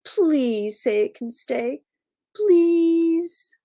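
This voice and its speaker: A woman's voice: high voice, lively intonation, very slow, warm tone, pitched above the performer's own voice, full character voice. A woman voicing a caring seven-year-old boy in a storybook, earnest, pleading and emphatic.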